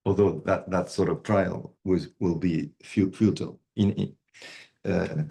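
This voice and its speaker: Middle-aged male lecturer, non-native English, low mellow voice, slow and halting delivery. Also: fairly even intonation, creaky. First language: not English. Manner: halting, many fillers and restarts. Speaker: university lecturer. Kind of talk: university lecture.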